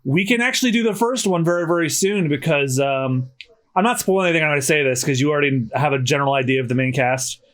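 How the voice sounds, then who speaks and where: A man hosting a casual podcast, chatty and slightly hoarse, often restarting his sentences. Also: a little high, quick pace, fairly bright tone. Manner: chatty, self-correcting restarts. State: slightly hoarse after dental work. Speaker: podcast host.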